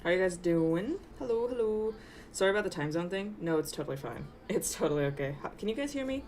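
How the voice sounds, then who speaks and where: A woman's voice: fairly low pitch, animated, fast, a little mellow, slight background noise. A young woman from Taiwan chatting casually on a livestream while she works, rambling through tangents and laughing at her own jokes. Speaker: VTuber streamer. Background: faint background music.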